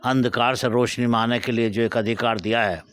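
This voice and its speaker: A man delivers a motivational speech to students. His voice sits at an average pitch, and the words come extremely quickly. He addresses the pupils formally and urges them on. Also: fairly even intonation, fairly bright tone, clear, resonant tone, dry recording. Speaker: speaker addressing school pupils.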